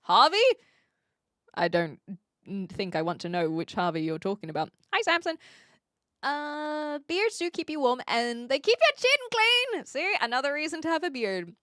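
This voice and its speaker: Woman streamer, aussie british mixed accent, bright crisp voice, dry close sound, chatty n jokey, says words carefully. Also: high-pitched, expressive, normal speed, dry recording. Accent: neutral Australian-British mix. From New Zealand, later Australia and the UK. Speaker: livestream host. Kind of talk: casual livestream chat with viewers.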